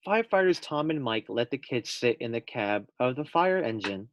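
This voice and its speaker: A man's voice: medium pitch, expressive, normal speed, lo-fi sound, a little hiss or hum. A man reading a children's storybook aloud as a teacher, expressively, putting questions directly to young listeners.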